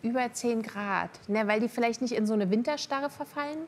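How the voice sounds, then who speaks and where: A woman's voice: a little high, fairly quick, a little hiss or hum. A woman chatting casually as a contestant on a quiz show. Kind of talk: casual discussion.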